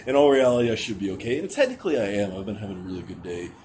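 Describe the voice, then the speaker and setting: A young man with a very low, deep voice talks straight to his camera, hesitant and rambling. He sounds anxious and sighs often, with casual swearing. A steady hiss sits under the recording. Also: rapid-fire. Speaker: vlogger. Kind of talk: candid venting to camera. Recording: noticeable background hiss.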